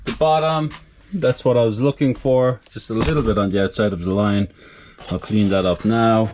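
A man casually talking the viewer through his renovation work indoors, matter-of-fact and relaxed. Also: on the low side, moderate pace, slight background noise. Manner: relaxed, matter-of-fact, talks to camera while working. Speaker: DIY renovator. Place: indoors, farmhouse under renovation.

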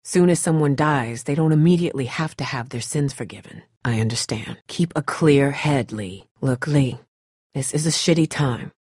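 A woman's voice: a bass voice, moderate pace, darkish tone, breathy edge, no room sound, clean studio sound. A woman playing a tough, blunt but caring survivor of an apocalypse in a dramatic video game. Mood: subdued, sympathetic. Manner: blunt, urgent, no-nonsense.

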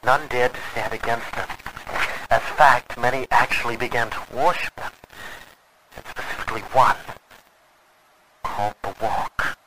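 An actor playing an earnest, weary man of the 1920s recording a grave, measured, formal log in an old-time radio drama. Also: mid-range voice, steady tone, background noise, close to an everyday voice. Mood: ominous, intense.

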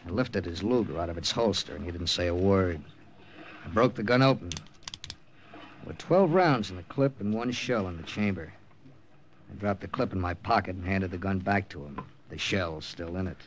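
A man's voice: low voice, normal speed, a little hiss or hum, close to an everyday voice, low-quality recording. A man acting a wary, distrustful American captain and secret agent in an old radio war drama, with clipped hard-boiled delivery. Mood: tense, grim narration. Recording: old radio broadcast with loud music.

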